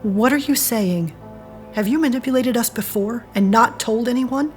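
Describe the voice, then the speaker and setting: A woman's voice: on the high side, normal speed, soft background music, close to an everyday voice. A woman playing an earnest, principled friend in an audio drama, reasoning and pleading firmly. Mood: alarmed. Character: earnest woman, a firm moral voice to her friend.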